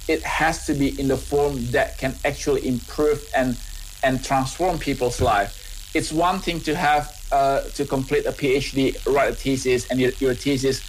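A man's voice: mid-range voice, steady tone, normal speed, a little hiss or hum, rough recording. A man in his thirties with an Indonesian accent, a scientist answering interview questions earnestly, often repeating and restarting words. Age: 30s. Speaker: scientist and business owner.

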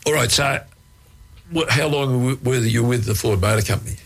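A man's voice: medium pitch, a little fast, creaky, slight background noise. A male radio host interviewing a guest in a chatty way, with many fillers and restarts.

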